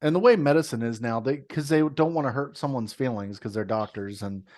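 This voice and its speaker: A man's voice: mid-range voice, brisk, darkish tone. Ranting, profane man on a podcast. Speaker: podcast co-host. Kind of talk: casual chat.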